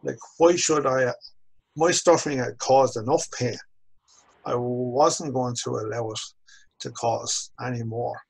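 A man in his sixties with an Irish accent, a course instructor telling his own story slowly and reflectively on a video call from a home office. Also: medium pitch, steady tone, creaky, husky, rough recording. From Ireland. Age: 60s. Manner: measured, reflective, slows down on key phrases. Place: home office with bookshelves, indoors. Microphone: video call webcam.

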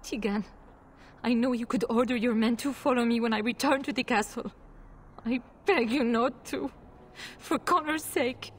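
A woman's voice: on the high side, moderate pace, a little hiss or hum, close to an everyday voice. An actress playing a distraught, proud noblewoman in a video game, formal and pleading. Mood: desperate pleading. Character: distraught proud noblewoman, desperate mother and wife. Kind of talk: dramatic video game dialogue.

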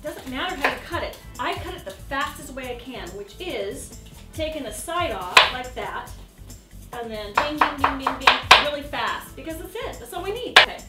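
A woman's voice: sits high, echoey room, recorded from a distance. A woman hosting a cooking show, showing a guest how to cook in her home kitchen, chatty and playful, laughing often and adding sound effects. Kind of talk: casual cooking demonstration.

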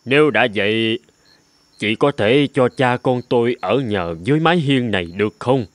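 A man's voice: mid-range voice, moderate pace, dry recording, lightly acted. A man voicing an ailing, anxious older father in a cartoon, speaking in a weary, plaintive way. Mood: weary worry. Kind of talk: animated film dubbing. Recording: music clearly behind the voice.

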